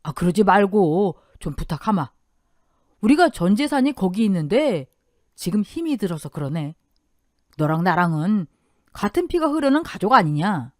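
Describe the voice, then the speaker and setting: A woman's voice: medium pitch, big pitch swings, moderate pace, no echo, clean studio sound, natural voice. A woman voicing a brazen older uncle who begs favors in a pushy, wheedling way. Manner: pushy and wheedling, turns to angry shouting.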